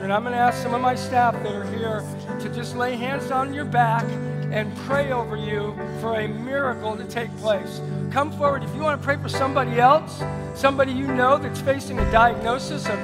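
A male pastor calls people forward in a high-pitched voice. His delivery is earnest and halting, circling back on phrases as he exhorts the room. Loud worship music plays behind him through the church sound system. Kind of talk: church altar call over worship music. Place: large church auditorium, through the PA.

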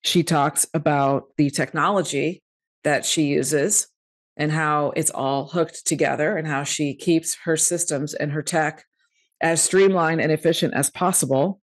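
A middle-aged woman hosting an upbeat podcast interview. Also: low voice. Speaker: podcast host. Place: indoor room at home or office, video call. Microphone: desk microphone with headset.

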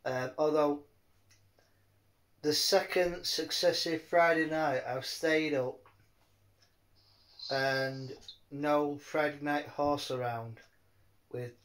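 A man chatting casually in a rambling, halting way, often repeating his words. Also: fairly high pitch, steady tone, fairly bright tone, low-quality recording. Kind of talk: rambling casual phone chat.

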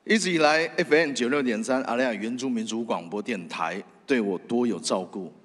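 Male, Taiwanese Mandarin accent, award acceptance speech, earnest and halting, mid-range pitch, long hall reverb. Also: a bit bright. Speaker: radio host, award winner. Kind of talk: award acceptance speech, partly read from phone. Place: large theatre stage at awards ceremony. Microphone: stand microphone, close. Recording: big hall reverb over PA.

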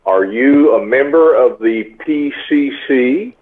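A man's voice: medium pitch, slow pace. A man on a tinny phone line, arguing insistently with a caller as an annoyed homeowner. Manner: insistent, repeatedly addressing the caller by name. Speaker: homeowner receiving a prank call. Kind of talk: argumentative phone conversation.

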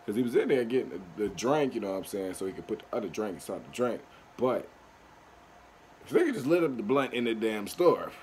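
A man's voice: lowish voice, very animated, brisk, a little mellow, a little hiss or hum. A young man hosting a music reaction video from a small bedroom, chatting loosely in slang into his webcam. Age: young adult. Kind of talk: casual reaction commentary.

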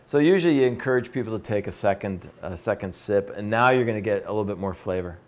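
A man's voice: on the low side, fast. A man in his fifties, enthusiastically leading a beer tasting seminar in a brewery room, picked up by a camera from across the room. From Canada. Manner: conversational, enthusiastic, gestures while talking. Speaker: beer educator.

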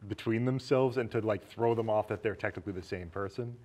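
Low-voiced man in casual discussion. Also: fairly quick, darkish tone. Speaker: film discussion show co-host. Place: small indoor studio set with curtain.

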